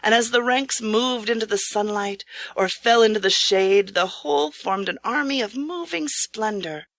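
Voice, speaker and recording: A woman reading a scripted storybook tale as narrator, in a measured, formal, dramatic manner. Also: a little high, a bit bright, no room sound. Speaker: audiobook narrator.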